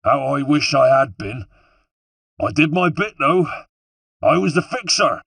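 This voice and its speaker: A man's voice: mid-range voice, animated, a little slow, vocal fry, fairly bright tone, no room sound, pitched above the performer's own voice, exaggerated voice. A man voicing a taunting, middle-aged West Country villain with a wheezy, breathless voice in an audiobook. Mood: gloating. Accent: West Country English. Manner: taunting, contemptuous.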